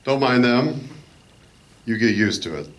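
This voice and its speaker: A man acting in a live radio play as a calm, polite, authoritative adventure hero. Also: medium pitch, measured pace, close to an everyday voice. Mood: probing.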